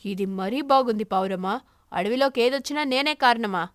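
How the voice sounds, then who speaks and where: A woman's voice: fairly high pitch, moderate pace, no echo, studio quality, lightly acted. A woman voicing a frightened, defensive crow in a moral cartoon, protesting and pleading. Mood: indignant.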